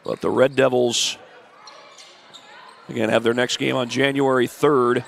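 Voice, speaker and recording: Brief: male play-by-play announcer, stuffy and congested, gravelly edge, courtside in a gym with faint noise behind. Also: mid-range voice, unhurried. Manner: steady, informative play-by-play with stat and schedule asides. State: congested from the flu. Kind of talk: high school basketball commentary. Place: high school gym. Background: faint gym ambience, ball bouncing.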